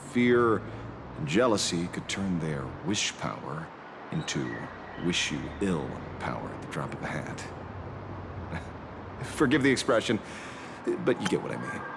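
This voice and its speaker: A man's voice: sits low, gravelly, background noise, exaggerated voice. An actor playing a theatrical, slightly awkward male detective with a vengeful streak in a video game. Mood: solemn warning. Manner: theatrical, swings from grave and measured to flustered stammering. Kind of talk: dramatic video game character acting. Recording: background music under dialogue.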